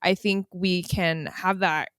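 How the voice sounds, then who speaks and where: Lowish-voiced woman musing in podcast chat. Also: fairly even intonation, slow pace, a bit bright, dry recording, studio quality. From United States. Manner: reflective, sprinkled with "like". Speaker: podcast co-host. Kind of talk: casual chat among siblings.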